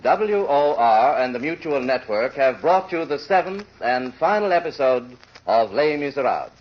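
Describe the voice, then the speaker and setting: High-pitched formal male radio announcer. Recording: dull vintage radio recording.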